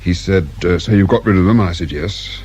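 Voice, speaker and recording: A man's voice: sits low, brisk, a little mellow, husky, dull audio. An actor playing a distraught English father of a kidnapped boy in a radio drama. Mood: subdued grief. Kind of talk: naturalistic British radio drama acting. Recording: background noise and room ambience.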